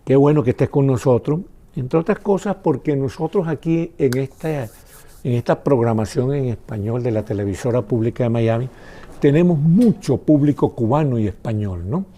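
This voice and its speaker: A male television interviewer with a very dark, mellow voice at a middle pitch. He talks in an easy, curious way and often adds tag questions to draw his guest out. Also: moderate pace. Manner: inquisitive, conversational, prompting with tag questions.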